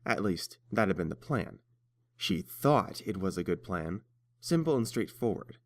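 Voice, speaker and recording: A man narrates a story from a script in a fairly low voice. The recording is dry and close. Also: big pitch swings, measured pace, no room sound.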